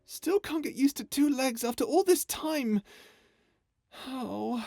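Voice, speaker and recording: A woman acting in an audio roleplay as a gentle, childlike non-human crew member, speaking with a timid, hesitant, earnest stammer. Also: high-pitched, lively intonation, slow, creaky, no echo, exaggerated voice. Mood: wistful, unsure. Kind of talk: ASMR audio drama roleplay. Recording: music under the voice. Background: music.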